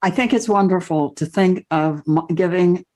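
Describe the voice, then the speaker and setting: Woman podcast host, low-pitched voice. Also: normal speed, dark tone. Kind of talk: interview conversation.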